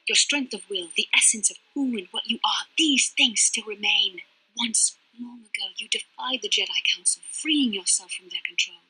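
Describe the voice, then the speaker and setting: An actress playing a proud young woman fallen to the dark side, intense and haughty in a dramatic video game style. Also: mid-range voice, husky, rough recording. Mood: intense, persuasive.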